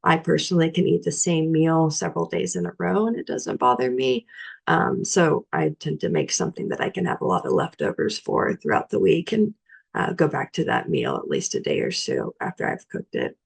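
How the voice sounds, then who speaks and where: Nutrition educator, young woman, low and gravelly, hoarse from a cold; easygoing teaching manner. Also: normal speed. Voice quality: raspy. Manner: relaxed, practical, with frequent "um" fillers. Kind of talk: informal library talk on cooking.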